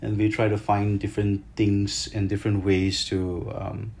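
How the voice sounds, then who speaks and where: A man with a Malaysian accent, a chef answering interview questions in an explanatory, halting way with repeated words. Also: lowish voice, flat delivery, vocal fry, a little mellow.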